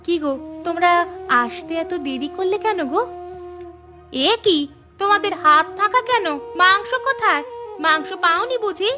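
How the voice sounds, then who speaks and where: A woman voicing a kind, caring village mother and farmer's wife in a cartoon, affectionate and expressive. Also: very high-pitched, normal speed, long pauses, music in the background. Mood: concerned. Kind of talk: cartoon voice acting.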